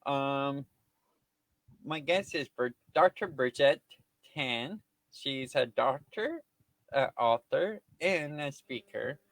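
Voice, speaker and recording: A man hosting a podcast, speaking haltingly with hesitant phrasing. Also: medium pitch, expressive, fairly bright tone, no echo. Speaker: podcast host. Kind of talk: podcast interview.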